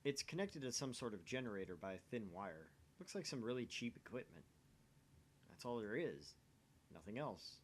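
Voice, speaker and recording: Man reading aloud with lively intonation. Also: mid-range voice, moderate pace, slight background noise. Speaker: let's play host reading a visual novel aloud. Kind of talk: narration reading mixed with casual chat.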